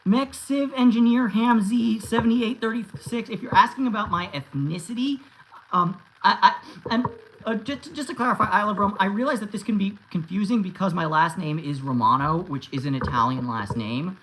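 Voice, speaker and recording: A man's voice: high voice, normal speed, a bit bright, a little hiss or hum, rough recording. A man in his thirties chatting casually on a livestream and answering viewers, rambling with many restarts and fillers. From United States. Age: 30s. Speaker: YouTube host answering live chat.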